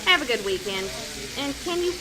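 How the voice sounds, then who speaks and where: An actress playing a laid-back, wry young fast-food grill cook in an audio drama, with a casual, teasing manner. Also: female voice, sits high, sing-song, a crowd in the background, low-quality recording.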